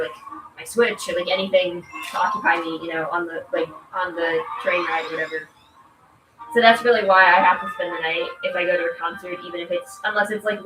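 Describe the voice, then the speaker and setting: Congested woman chatting casually, echoey and distant. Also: fairly low pitch. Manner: rambling, informal, filler-heavy "like". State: congested from allergies. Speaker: game streamer. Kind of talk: casual chat while gaming. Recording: echoey room, distant mic, loud music. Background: loud video game music.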